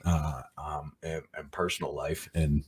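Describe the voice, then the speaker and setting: A man's voice: a bass voice, fairly even intonation, a little slow, breathy edge. A man in his forties talking through a casual podcast monologue, rambling with many fillers and repeated words. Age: 40s. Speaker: podcast host.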